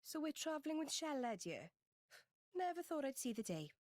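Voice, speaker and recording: A woman's voice: sits high, big pitch swings, normal speed, a little mellow, no echo, studio quality. An actress playing a blunt, skeptical young female companion in a game cutscene, colloquial and grumbling. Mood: skeptical, dismayed.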